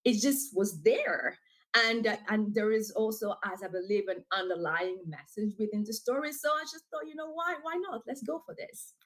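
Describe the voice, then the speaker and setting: Animated woman from DR Congo. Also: mid-range voice, moderate pace. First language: French. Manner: animated and talkative, with frequent fillers and laughs. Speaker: author and guest. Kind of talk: casual interview.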